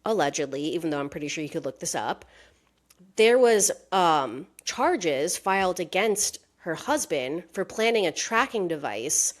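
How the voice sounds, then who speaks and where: A woman's voice: on the low side, lively intonation, a little slow. A woman co-hosting a casual gossip podcast, piecing rumors together with hedges and acting out quoted lines in put-on voices. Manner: hedging, pieces rumors together. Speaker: podcast co-host.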